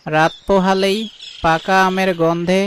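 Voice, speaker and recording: A man's voice: high voice, little pitch movement, unhurried, fairly bright tone, slight background noise. A man voicing a wronged, hard-working fox who keeps an orchard in a cartoon, with a grumbling manner. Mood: puzzled. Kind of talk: cartoon voice acting.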